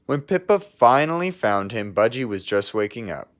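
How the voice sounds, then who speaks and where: A man's voice: mid-range voice, sing-song, normal speed. A man reading a children's picture book aloud expressively, as if to young listeners. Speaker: storybook reader.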